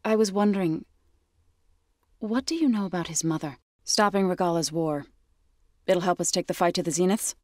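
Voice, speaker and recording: Low-pitched, earnest warrior woman, played by an actress. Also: normal speed, pauses often, darkish tone, dry recording, clean studio sound, lightly acted. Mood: resolute, thoughtful. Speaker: video game character. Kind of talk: scripted video game dialogue.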